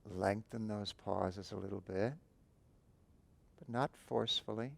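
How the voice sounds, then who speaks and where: A man guiding a breathing meditation, giving calm, unhurried instructions with long pauses between phrases. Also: on the low side, gravelly. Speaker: meditation teacher.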